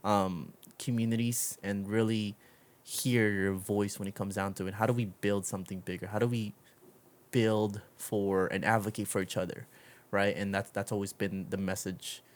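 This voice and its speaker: A man's voice: lowish voice, little pitch movement, moderate pace. A man hosting a podcast in a casual, conversational interview style, rambling and encouraging as he talks. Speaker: podcast host.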